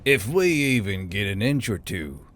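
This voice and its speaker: A man's voice: fairly low pitch, very animated, unhurried, a bit bright, pitched below the performer's own voice. A man voicing a hopeful male dwarf engineer prince in a fantasy audiobook. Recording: music behind the voice.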